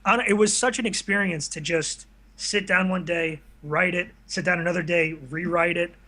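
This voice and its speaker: A man's voice: high-pitched, steady tone, moderate pace, bright, forceful tone. A young man chatting casually as a guest in an interview. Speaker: guest, video creator. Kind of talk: casual chat.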